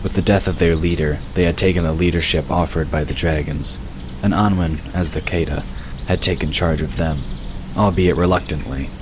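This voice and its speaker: Very deep-voiced man narrating a fantasy story. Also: steady tone, normal speed. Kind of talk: scripted fantasy audiobook narration. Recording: noisy, birdsong and crickets behind. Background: birdsong, crickets, outdoor ambience.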